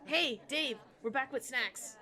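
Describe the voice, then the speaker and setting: A woman's voice: high voice, takes it slowly, pushed and bright, full character voice. A woman playing a friendly, teasing young female convention-goer, speaking casually in a fan comic dub.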